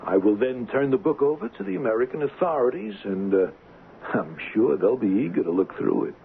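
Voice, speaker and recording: An actor playing a bitter, mocking, hard-drinking ship's engineer turned blackmailer in an old-time radio drama. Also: male voice, medium pitch, sing-song, a little fast, gravelly. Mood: taunting. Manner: mocking, theatrical, taunting. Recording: lo-fi, noisy radio drama recording.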